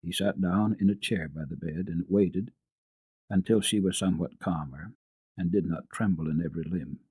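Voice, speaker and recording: A man reads a children's classic aloud as a dramatic radio story. His voice is husky and a little low, with deliberate, expressive phrasing. The sound is dry and close. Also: no room sound.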